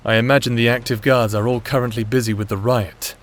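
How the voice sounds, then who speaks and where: A man's voice: mid-range voice, fairly even intonation, a little fast, slight background noise, close to an everyday voice. Man as wary prisoner leading a jailbreak. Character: terse, wary male prisoner leading an escape. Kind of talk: audio drama acting. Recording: music behind the voice.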